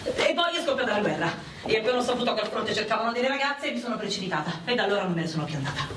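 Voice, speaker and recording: An actress playing a proud, worldly wartime prostitute in a radio drama, brash and confiding in a theatrical way. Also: female voice, mid-range voice, very animated, rapid-fire, natural voice. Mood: bitter. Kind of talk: dramatic radio play dialogue. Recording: roomy, distant mic with loud background noise.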